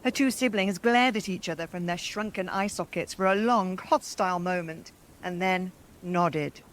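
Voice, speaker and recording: A woman narrating a story aloud as host, in a dramatic, expressive and theatrical scripted style. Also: fairly low pitch, normal speed, slight background noise.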